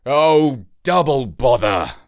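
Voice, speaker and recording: Storybook father played by a narrator, middle-aged, medium pitch, measured, mildly grumbling, expressive. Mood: annoyed. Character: mild, put-upon middle-aged father. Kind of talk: children's storybook character voice.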